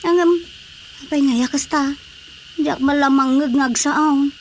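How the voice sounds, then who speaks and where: A woman's voice: sits high, steady tone, a little slow, slight background noise. A woman with an Ilocano accent acting a nosy, teasing village woman in a radio drama comedy.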